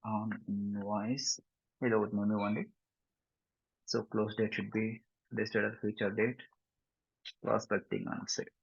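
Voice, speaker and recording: Software trainer, male, Telugu Indian accent, slightly rough husky tone, instructional step-by-step delivery with check-in questions, muffled lo-fi recording. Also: on the low side, flat delivery, drawn-out words, pauses often. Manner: instructional, repeatedly checking understanding with short questions. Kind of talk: step-by-step screen-share tutorial, mixing two languages. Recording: dull, roomy lo-fi screen recording.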